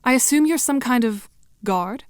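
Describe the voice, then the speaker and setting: A woman's voice: a little high, expressive, moderate pace, clean tone, dry recording, lightly acted. Prim, wry teenage girl, acted by a woman. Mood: prim, puzzled. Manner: formal, precise, dry. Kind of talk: audio drama acting.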